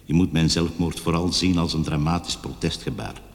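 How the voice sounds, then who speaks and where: Very deep, echoing vengeful killer. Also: male voice, level tone, moderate pace. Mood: righteous, grim. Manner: grandiose, bitter, preaching self-justification. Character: self-righteous vengeful male killer voicing his suicide letter. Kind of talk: radio drama monologue. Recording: long echoing reverb on the voice.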